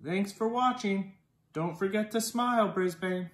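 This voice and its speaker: Storytime reader for young children: unhurried, expressive with dialogue, recorded in an echoey living room. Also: mid-range voice. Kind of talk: children's picture book read-aloud. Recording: roomy indoor echo.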